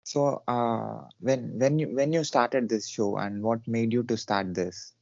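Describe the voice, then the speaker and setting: A man's voice: medium pitch, fairly even intonation, measured pace, gravelly, a little mellow, no echo, highs cut off. A man hosting a podcast interview, asking questions hesitantly with many fillers. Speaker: podcast host. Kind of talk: casual interview.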